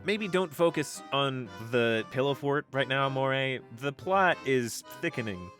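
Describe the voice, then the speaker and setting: A man's voice: medium pitch, animated, a little slow, a bit bright, soft background music. An actor playing a wry, exasperated young man in an audio drama, with a theatrical, sarcastic delivery. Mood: skeptical.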